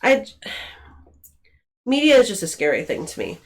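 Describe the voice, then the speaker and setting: A woman's voice: medium pitch, huge pitch swings, normal speed, a little hiss or hum. A woman in her late twenties talking casually as a podcast co-host. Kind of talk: casual conversation.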